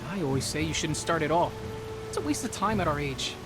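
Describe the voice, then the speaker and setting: A man's voice: fairly high pitch, animated, fast, over music, natural voice. A young man playing a stern, dutiful older brother and Spartan warrior in a video game, curt and commanding with formal phrasing. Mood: impatient.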